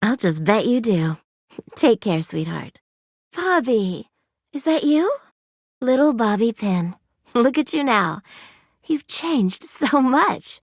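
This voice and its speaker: A woman's voice: a little high, very animated, slow pace, long pauses, no room sound, clean studio sound, full character voice. A woman voicing a doting, motherly family friend in a video game, cooing and fond. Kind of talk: video game character acting.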